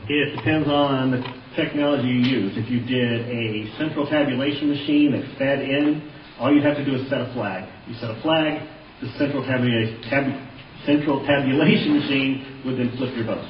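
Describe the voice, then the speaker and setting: A man giving blunt, plain-spoken answers as a witness testifying about software at a public hearing. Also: medium pitch, steady tone, moderate pace. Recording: roomy, distant, dull lo-fi hearing audio, faint noise.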